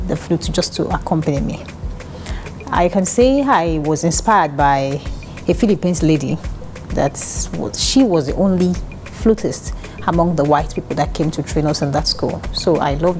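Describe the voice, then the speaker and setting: Nigerian woman in her thirties, animated studio guest, lowish voice over a music bed. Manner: animated, laughs often, restarts sentences. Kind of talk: casual interview chat. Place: dark television studio set, seated in armchair. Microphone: lapel mic.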